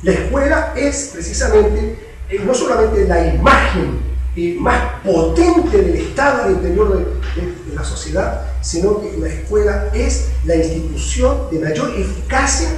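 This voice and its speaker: A man's voice: high-pitched, expressive, a little slow. A man, a veteran teacher giving an impassioned lecture and hammering his points home through repetition. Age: 70s. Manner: emphatic, repeats key words in insistent chains. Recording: echoey room picked up from far off.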